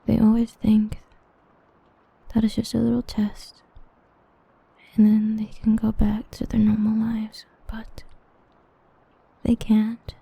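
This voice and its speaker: A young woman voicing an obsessive, protective half-demon villain in a hushed, intimate ASMR roleplay whisper. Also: a little high, completely flat delivery, creaky, husky, no room sound, exaggerated voice. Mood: hushed menacing. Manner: hushed, intimate, slow and brooding.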